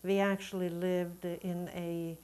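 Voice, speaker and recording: A mature woman from Sweden whose first language is Swedish. Her voice sits a little low and she talks very slowly. She reflects on her life as an interview guest, choosing words with care. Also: little pitch movement, darkish tone, smooth. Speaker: guest, Unitarian Universalist minister. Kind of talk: reflective conversational storytelling in an interview.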